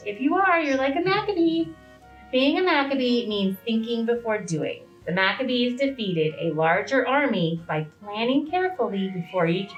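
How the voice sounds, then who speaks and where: A woman reads aloud to young children like a teacher, putting simple questions to them. Her pitch moves in a lively way, and she sounds off the mic in a roomy, slightly dull recording. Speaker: preschool educator reading to children. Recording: distant, roomy, slightly dull, faint music.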